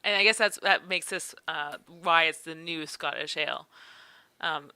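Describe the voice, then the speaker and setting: A woman co-hosting a casual beer podcast, chatting in an animated way with frequent restarts. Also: deep voice, moderate pace, clear, resonant tone, no room sound. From Canada. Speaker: podcast co-host. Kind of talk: casual chat.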